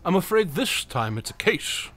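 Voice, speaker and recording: Radio play lead: a man plays a wry, self-assured detective with an animated delivery. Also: sits high, normal speed, slightly rough, heavily acted. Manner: dry and teasing. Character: sly, confident male detective, dryly teasing.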